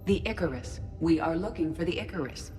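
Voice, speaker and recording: A woman voicing a calm ship artificial intelligence in a video game, speaking in a measured, formal, analytical way. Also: deep voice, soft background music. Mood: measured, matter-of-fact.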